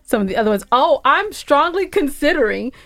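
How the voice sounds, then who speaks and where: A woman's voice: high voice, big pitch swings, brisk, a bit bright, no room sound. An American woman co-hosting a podcast in casual, unscripted banter, chatty and informal. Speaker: podcast co-host.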